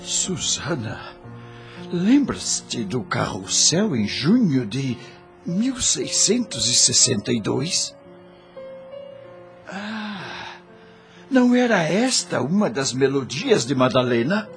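Radio drama role: wealthy gentleman, fifties, wistful and formal, swinging intonation, unhurried male actor. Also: mid-range voice, big pitch swings, faint music behind. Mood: wistful awe. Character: melancholy nostalgic gentleman in his fifties, wealthy and sentimental.

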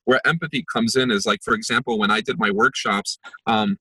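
Man, young adult, interview guest, reflective, husky, dry close recording. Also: lowish voice, steady tone, fairly quick, bright, forceful tone, dry recording, rough recording. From born in Israel, raised in New Jersey and New York. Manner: reflective, many fillers and restarts. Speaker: empathy workshop facilitator, podcast guest. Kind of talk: conversational interview.

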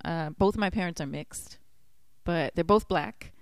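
A woman's voice: on the low side, unhurried, no room sound, studio quality. A woman from New Jersey with an American accent, chatting casually in a hesitant, giggly way. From New Jersey, United States. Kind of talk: casual chat.

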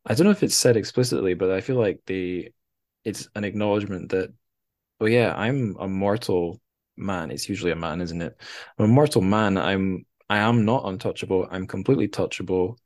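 A man's voice: low voice, darkish tone. A man hosting a podcast, discussing books casually and reflectively, feeling for his words in an informal way. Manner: hesitant, reflective, searching for words. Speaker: podcast host.

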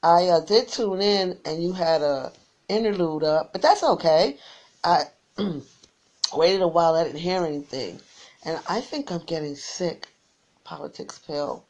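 A tired woman who is losing her voice, rambling through friendly shout-outs to listeners on her small internet radio station. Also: fairly low pitch, animated, unhurried, highs cut off. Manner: rambling and warm, repeats her sign-off.

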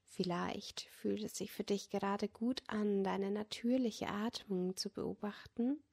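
A woman leading a guided yoga nidra relaxation as a meditation guide, speaking in a gentle, soothing manner that often drops close to a whisper. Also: medium pitch, steady tone, a little slow, creaky, slightly rough. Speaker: meditation guide and podcast host.

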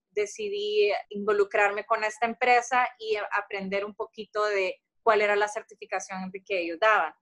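A woman's voice: a little high, a bit bright. A Honduran woman who owns a tea business, answering interview questions in an earnest, step-by-step way and giving advice. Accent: Honduran Spanish.

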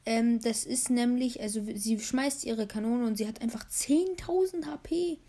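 A young woman hosting a casual gaming podcast, hoarse, rambling with many fillers while she plays a game. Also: on the high side, normal speed, dark tone. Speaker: podcast host.

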